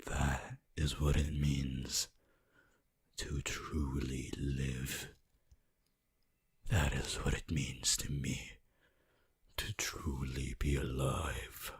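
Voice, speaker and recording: Super deep breathy vampire, possessive n creepy seductive, slow asmr whispering. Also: male voice, flat delivery, heavily acted. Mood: darkly seductive. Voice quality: breathy, husky, often whispering. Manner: slow, theatrical, archaic phrasing.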